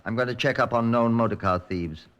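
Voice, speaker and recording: An actor playing a composed, methodical British chief inspector in an old-time radio crime drama, brisk and authoritative. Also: male voice, medium pitch, clear, resonant tone, lo-fi sound, lightly acted. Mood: commanding.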